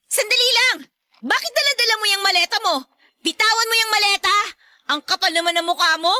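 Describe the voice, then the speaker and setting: Feisty young daughter in radio melodrama: very high, sharp, accusing. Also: female voice, fairly quick, no room sound, clean studio sound, exaggerated voice. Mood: furious. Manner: accusing, emphatic. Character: indignant, combative young daughter standing up to her stepmother. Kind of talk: melodramatic Tagalog radio drama acting.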